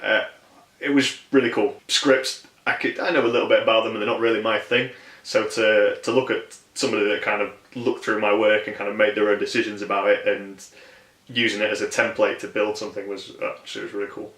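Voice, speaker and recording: A low male voice with a bright, forceful edge, recorded in an echoey room. He speaks informally as an interviewed writer and is not shy of swearing. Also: level tone, a little fast. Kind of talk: casual interview talk.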